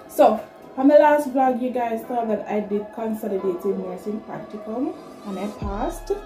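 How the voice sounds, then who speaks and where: A woman's voice: fairly high pitch, warm tone. A woman in her twenties with a Jamaican accent, chatting casually and directly to viewers as a vlogger. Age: 20s. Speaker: vlogger, nursing student. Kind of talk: casual vlog talk to camera. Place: small plain room indoors. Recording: echoey room, music behind. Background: background music.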